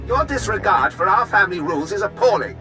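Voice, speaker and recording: An outraged aristocrat in a game cutscene. The voice is high and very sharp, haughty and indignant. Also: male voice, expressive, fast, faint music behind, exaggerated voice. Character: indignant, haughty male noble. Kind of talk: video game cutscene acting.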